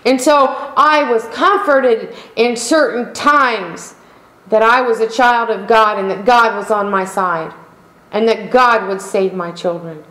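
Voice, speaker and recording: A middle-aged woman pastor delivering a sermon in slow, emphatic preaching style, calling out for amens. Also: on the high side, fairly even intonation, fairly bright tone. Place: church sanctuary. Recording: echoey room.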